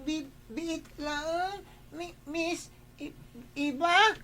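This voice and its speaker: A timid young man stammers through every phrase, halting and unsure , as a performer acts him out. His voice sits high and he speaks slowly, with a faint hiss behind him. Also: sharp tone, full character voice. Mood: frightened. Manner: stammering, hesitant. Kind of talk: radio drama acting.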